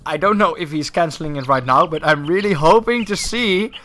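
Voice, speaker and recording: Guy doing live game commentary, bright forceful voice, kinda high, hypes things up. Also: a little fast. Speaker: esports tournament commentator and host.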